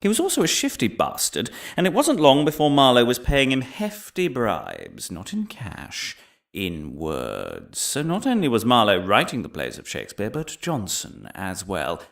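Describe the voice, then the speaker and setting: An actor playing a pompous, paranoid crackpot professor in a comic audio drama, lecturing theatrically with dramatic pauses, moving between hushed conspiracy and emphatic declaiming. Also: male voice, medium pitch, wildly swooping pitch, gravelly, heavily acted. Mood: dramatic revelation. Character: pompous, paranoid crackpot male professor delivering absurd revelations.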